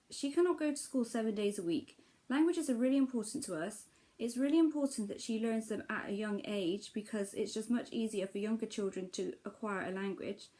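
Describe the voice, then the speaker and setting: A woman's voice: mid-range voice, a little mellow. Chatty mother vlogging. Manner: chatty and explanatory, frequent ums. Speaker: homeschooling mother. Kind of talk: casual vlog talk to camera.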